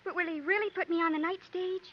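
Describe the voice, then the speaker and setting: A proud, frightened young woman in a golden-age radio play. Her voice is high and genteel, with a guarded, dramatic delivery. The old broadcast sounds dull and slightly noisy. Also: steady tone, fast. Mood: wary, softening. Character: frightened, proud, mistrustful young lady on the run. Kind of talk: 1950s radio comedy-drama acting.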